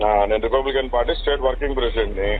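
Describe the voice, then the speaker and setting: A man's voice: mid-range voice, rapid-fire. A man making a confrontational, intimidating phone call on a tinny line. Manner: challenging, intimidating.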